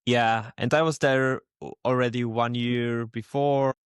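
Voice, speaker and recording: A man's voice: medium pitch, steady tone, unhurried, smooth, no echo, studio quality. A young man from Slovenia co-hosting a casual podcast, laid-back and chuckling often. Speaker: podcast co-host. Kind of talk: casual chat.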